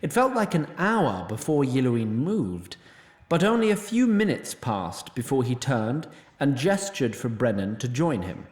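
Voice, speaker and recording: A man's voice: medium pitch, very animated, a little slow, darkish tone, reverberant. A man narrating a fantasy audio drama from a script, dry, formal and wry.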